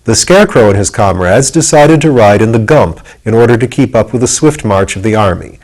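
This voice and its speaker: A man's voice: fairly low pitch, animated, a little fast, darkish tone, low-quality recording. A man reading aloud as the narrator of a storybook, in scripted narration. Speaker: narrator, audiobook reader.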